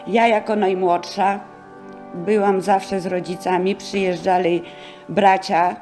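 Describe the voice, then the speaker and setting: A senior woman from the Polish countryside looks back on her life. Her medium-pitched speech is reflective and plain, with faint music underneath. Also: level tone, slow, darkish tone. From rural Podlasie, Poland. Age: over 60. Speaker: senior sharing memories. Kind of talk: reflective reminiscence.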